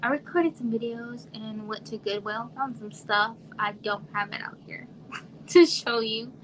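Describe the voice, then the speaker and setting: A woman from Arizona in her thirties, chatting casually on a home livestream in a quiet, soft voice that trails off and sometimes drops to a whisper. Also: on the high side, unhurried. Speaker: livestream host. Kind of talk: casual live chat with viewers. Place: home room. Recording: noisy home livestream audio.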